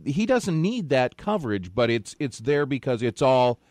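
A man's voice: mid-range voice, big pitch swings, normal speed, darkish tone, no echo, studio quality. A man co-hosting talk radio, explaining a point plainly. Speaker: radio co-host.

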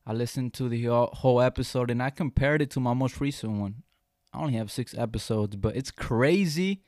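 A man's voice: medium pitch, dry recording. Earnest young man, dry close sound. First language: Spanish. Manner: earnest, emphatic, many restarts. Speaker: podcast host. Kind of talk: casual podcast conversation.

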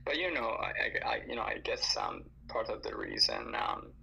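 A man's voice: fairly low pitch, huge pitch swings, moderate pace, gravelly, sharp tone. A man in casual chat, hesitant and full of fillers and restarts, who grew up bilingual and learned English after another language. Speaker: listener joining a live audio discussion. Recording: dull lo-fi online audio with faint noise.

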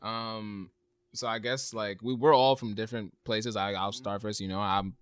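A man's voice: fairly low pitch, fairly even intonation, normal speed, smooth, no echo. A young man hosting a casual podcast, hesitant and filling his talk with "um" and "like". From United States. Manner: hesitant, many "um" and "like" fillers. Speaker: podcast host. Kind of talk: casual chat.

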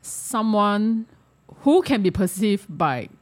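A woman with a Malaysian accent giving opinions in a casual discussion, halting and repeating words as she argues. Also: medium pitch, very animated. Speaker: panelist.

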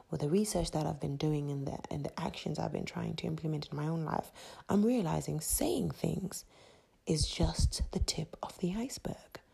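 A woman from Zimbabwe hosting a solo motivational podcast. She talks in an emphatic, repetitive, preachy way with a breathy voice. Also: sits low, mellow. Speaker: podcast host.

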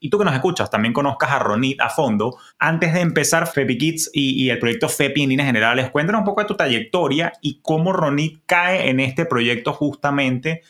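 Lively Venezuelan man hosting a podcast. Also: mid-range voice, brisk, hardly any pauses. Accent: Venezuelan Spanish. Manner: lively, colloquial, playful. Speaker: podcast host. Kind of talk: personal finance podcast interview.

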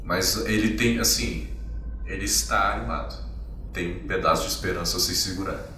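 A man narrates a tabletop game as game master, explaining and describing at length. His low voice has a bright, sharp edge. He sounds echoey and a little off-mic, with music playing behind. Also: moderate pace, far from the mic, a little hiss or hum.

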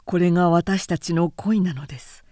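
A woman reading a literary story, voicing a gentle, solemn man who makes hushed, poetic declarations of secret love. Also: lowish voice, moderate pace, dry recording, studio quality, natural voice. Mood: solemn, resigned. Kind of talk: literary audiobook character acting.

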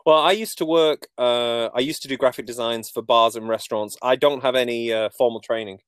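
Podcast guest: man in his forties, Manchester accent, easy self-deprecating humour, crisp dry voice. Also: medium pitch, steady tone, fairly quick, dry recording. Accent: Manchester English. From England. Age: 40s. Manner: jokey, self-deprecating storytelling. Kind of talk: casual interview chat.

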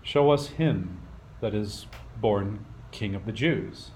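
A man's voice: fairly low pitch, takes it slowly, a little mellow. An actor playing a solemn, courtly magus king in a radio play, formal and reverent. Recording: faint background noise.

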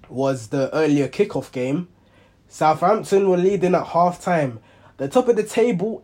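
A young man with a hoarse voice, hosting a football reaction show in a rambling, casual monologue full of ums and self-corrections. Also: fairly high pitch, darkish tone. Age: young adult. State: hoarse, under the weather. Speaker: football reaction channel host.

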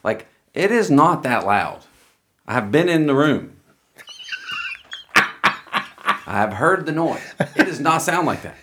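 A man's voice: fairly high pitch, sing-song, slow pace, pauses often, a bit bright, a little hiss or hum. A man co-hosting a podcast in casual chat, blunt and joking, often cutting in on his co-host. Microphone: studio mic close up.

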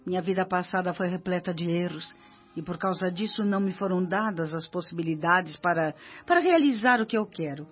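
An actress playing a devoted, humble spirit nurse in a Brazilian radio drama, speaking in an earnest, confiding way. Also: female voice, fairly low pitch, brisk, breathy edge. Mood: confiding, wistful. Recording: dull lo-fi radio recording, music behind.

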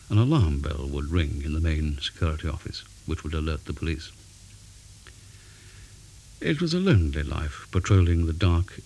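A man reading a scripted ghost story in a measured, dramatic way, pausing for effect. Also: low-pitched, darkish tone, rough recording. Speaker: story reader. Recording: faint background hiss.

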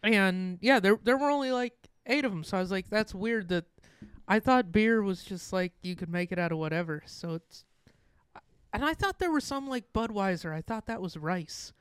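A man's voice: high-pitched, expressive, normal speed, clean tone, dry recording. A young man co-hosting a podcast in a small studio, joking with dry, teasing sarcasm. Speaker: podcast co-host, comedian. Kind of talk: casual podcast chat. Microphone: studio microphone.

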